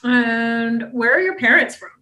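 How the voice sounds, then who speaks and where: A woman's voice: a little high, measured pace, sharp tone, roomy sound. A woman co-hosting a podcast, asking questions and chatting enthusiastically. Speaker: co-host. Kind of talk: casual podcast chat.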